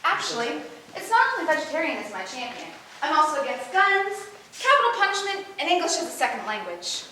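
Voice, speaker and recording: Woman acting ditzy vain young actress, bubbly and bright, swoops around a lot, stage rehearsal, distant mic. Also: high-pitched, very animated, brisk, exaggerated voice. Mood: emphatic, opinionated. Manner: bubbly, emphatic, self-assured chatter. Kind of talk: stage play rehearsal, comedic dialogue. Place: echoey indoor rehearsal room. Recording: very echoey room, distant mic, faint noise.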